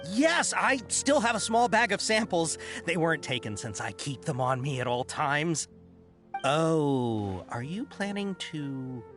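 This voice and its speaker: Eager, lively caravan merchant. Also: male voice, a little high, unhurried. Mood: anxious, hopeful. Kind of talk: video game voice acting. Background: game music.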